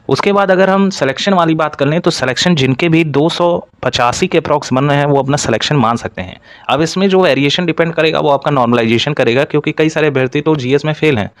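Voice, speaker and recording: A man's voice: on the high side, dry recording. A man giving an informal explainer as a presenter, addressing students directly with repeated filler phrases. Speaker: exam-news presenter for job aspirants. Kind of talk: informal explainer narration to camera-style audience.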